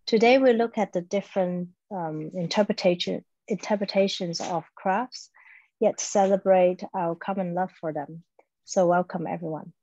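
A woman's voice: fairly low pitch, fairly even intonation, mellow, no room sound, dull audio. A woman, a Cantonese speaker, giving careful, hesitant welcome remarks on a video call. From Hong Kong. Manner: slow, careful, hesitant with fillers. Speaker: guest speaker. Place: small home room, plain wall.

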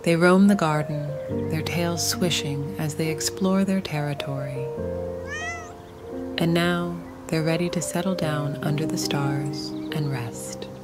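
A woman narrating a calming bedtime story for toddlers in a soothing, gentle manner, with long pauses. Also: low voice, over loud music.

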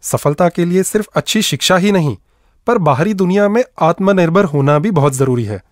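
A man presenting a radio programme with scripted, expressive and measured narration. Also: high-pitched, no echo, clean studio sound.

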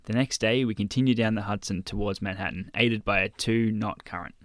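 A man reading scripted voice-over narration in an even, measured way. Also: fairly low pitch.

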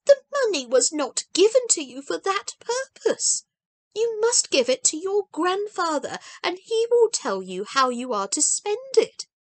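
A gentle, frail old grandmother with a voice lifted high and wavering with feeling, as a woman reads her in a storybook. The delivery is close and dry. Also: very animated, unhurried, no echo, exaggerated voice. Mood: tender. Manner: gentle, surprised, softly pleading.

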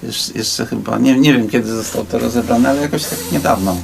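A man's voice: mid-range voice, a little fast, over music. An older man casually reminiscing in an interview recorded outdoors on a city street. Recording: street interview with clear traffic noise.

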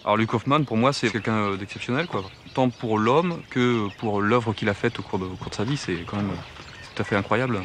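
Lowish male voice, noisy outdoor recording. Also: brisk. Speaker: documentary interviewee. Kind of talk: spontaneous interview talk. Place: outdoors in a marsh. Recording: noisy outdoor location sound.

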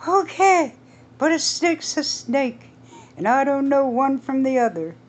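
A woman voicing a panicky woman who is terrified of snakes and speaks in a shrill, frantic way. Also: animated, a little slow.

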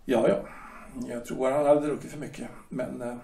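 An actor playing a shipyard director who talks in a measured, hesitant way with drawn-out pauses. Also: male voice, medium pitch, little pitch movement, gravelly, dark tone, far from the mic, lightly acted. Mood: cool, probing. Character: smooth, veiled-threatening male shipyard director. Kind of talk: amateur audio drama acting. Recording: roomy echo, voice set back from mic.

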